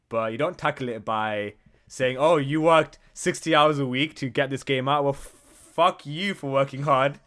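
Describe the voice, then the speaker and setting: A man's voice: mid-range voice, fairly bright tone. A man co-hosting a podcast in casual, sweary chat, blunt and opinionated in how he talks. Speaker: podcast co-host.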